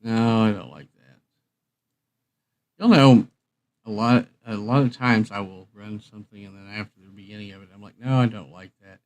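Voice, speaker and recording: A man's voice: fairly low pitch, steady tone, moderate pace, a little mellow. A man hosting a live stream, chatting casually to viewers in a rambling, folksy way.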